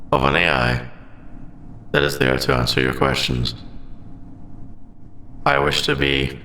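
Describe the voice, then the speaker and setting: Sentient AI , played by a man for ASMR roleplay: bright, crisp-edged tone, very wide pitch swings, formal and hesitant, echoing space. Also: a little hiss or hum, heavily acted. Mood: tender, conflicted. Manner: halting, formal, clipped robotic phrasing. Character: lovestruck sentient AI, formal, conflicted, hesitant about forbidden feelings. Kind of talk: ASMR audio roleplay, scripted monologue.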